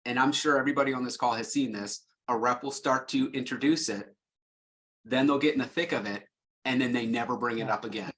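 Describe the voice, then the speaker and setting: A man's voice: medium pitch, brisk, a bit bright, rough recording. A man speaking as a sales leader on a panel, plain-spoken and conversational, explaining through acted-out examples.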